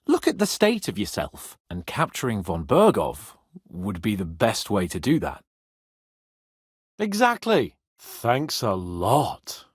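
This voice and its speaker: A brash young medieval lord, with a husky voice that leaps and plunges theatrically. He is haughty and excitable but loyal, in a very dry, close recording. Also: medium pitch, dry recording, exaggerated voice. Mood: brisk. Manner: theatrical, expressive, swearing freely. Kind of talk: video game voice acting, dramatic dialogue.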